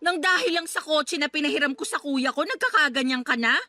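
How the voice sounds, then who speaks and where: A young woman acting a careless, irritable girlfriend in a radio drama, quick to snap back. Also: high voice, bright, forceful tone, soft background music. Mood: irritated. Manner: snappy, dismissive, defensive.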